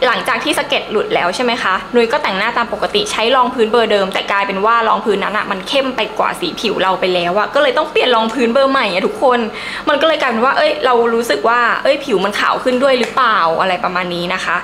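A woman's voice: fairly high pitch, fast talker, few pauses, fairly bright tone, a little hiss or hum. A young woman gives a casual, enthusiastic review to camera in a chatty, emphatic way. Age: young adult. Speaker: beauty vlogger.

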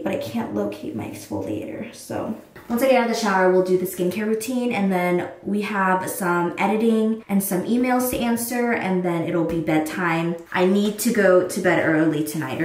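A young woman chats her way through a casual vlog, rambling with lots of fillers. Her voice sits at a medium pitch. It is picked up from a little way off in a roomy, echoey space. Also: a little hiss or hum. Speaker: lifestyle vlogger. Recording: roomy, mic at a distance.

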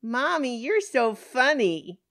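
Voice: high voice, big pitch swings, slow pace, fairly bright tone, no echo, studio quality, voice pushed up, full character voice. A narrator voicing an eerie little girl, childlike, proud and sweetly polite, in an audiobook horror story. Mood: sweet, teasing.

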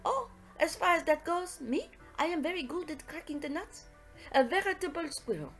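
A woman's voice: extremely high pitch, expressive, normal speed, a bit bright, soft background music, voice pushed up, heavily acted. A woman voicing a confident, middle-aged male detective, precise and affectionate, in an audiobook story. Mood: self-satisfied. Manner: precise, self-satisfied, foreign turns of phrase.

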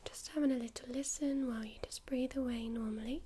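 A woman with a high, airy voice whispers close to the microphone in a soothing medical check-up roleplay. She talks gently and reassuringly, guiding the listener through each step, with a faint hiss behind her. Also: steady tone, fast. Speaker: ASMR creator playing a first-aid medic.

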